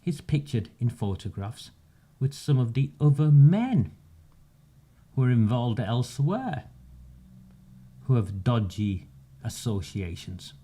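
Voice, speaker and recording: A man, delivering a measured, sarcastic campaigning monologue with emphatic pauses. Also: medium pitch, expressive, mellow. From northern England. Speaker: community campaigner. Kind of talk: direct-to-camera monologue.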